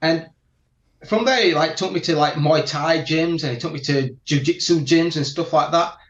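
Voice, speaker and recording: A man with a Yorkshire accent tells stories in a fairly high voice. He speaks slowly and carefully so that each word comes through. The sound is dull and lo-fi, in a room with plenty of echo. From England (Barnsley, South Yorkshire). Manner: deliberate, laughing storyteller. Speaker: guest martial artist. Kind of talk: casual interview chat. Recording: echoey room, dull lo-fi audio.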